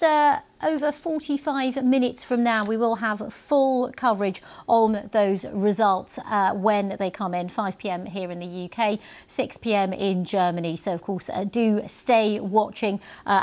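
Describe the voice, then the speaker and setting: A woman presenting live TV news, reading headlines in a brisk, clear way with occasional stumbles. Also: mid-range voice.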